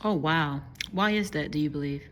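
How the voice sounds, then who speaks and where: Woman, interview host, low voice, curious, direct questions. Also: moderate pace, slight background noise.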